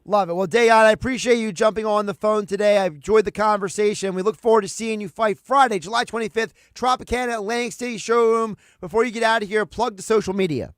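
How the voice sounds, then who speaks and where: A man's voice: sits high, fast talker, hardly any pauses, no echo, clean studio sound. A male radio host interviewing a boxer, asking long, rambling questions in a chatty way and calling himself a geek. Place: home studio.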